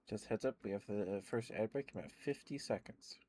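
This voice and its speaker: A man chatting softly and rambling with many fillers into a close mic with a fuzzy cover, as an ASMR streamer at home. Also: on the low side, a little hiss or hum. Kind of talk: soft rambling ASMR chat.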